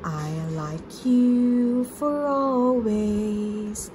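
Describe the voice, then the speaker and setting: A woman's voice: fairly high pitch, very slow, music in the background. A woman playing a loving mother who sings a tender storybook lullaby with long-held syllables. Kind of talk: slow sung lullaby.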